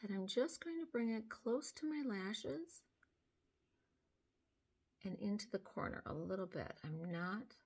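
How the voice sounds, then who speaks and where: An older woman with a slightly hoarse voice, gently talking through a makeup tutorial step by step, filmed close on a phone at home. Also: mid-range voice, expressive, measured pace, long pauses. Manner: gentle, step-by-step explaining while applying makeup. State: hoarse from sinus trouble. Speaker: beauty vlogger. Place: small room at home, indoors. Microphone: phone camera close up.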